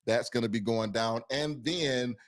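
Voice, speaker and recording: A man's voice: medium pitch, a little slow. A man co-hosting a podcast in a loose, casual way, often repeating and restarting his phrases. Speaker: podcast co-host.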